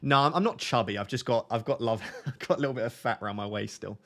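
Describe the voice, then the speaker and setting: A young man hosting a live stream, chatting casually with his viewers in a flat, monotone, self-deprecating way. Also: mid-range voice, fast. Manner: self-deprecating, chatty, reads out chat messages. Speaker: livestream host.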